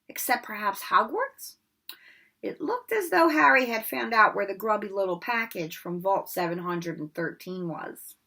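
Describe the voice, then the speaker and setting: A woman reading a children's novel aloud in an expressive storybook manner, with occasional slips and rereads. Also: medium pitch, vocal fry. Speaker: read-aloud narrator.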